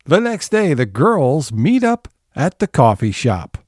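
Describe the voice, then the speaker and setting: A man's voice: on the high side, sing-song, unhurried, a little mellow, dry recording, clean studio sound. A man reading scripted narration for an audio comedy serial.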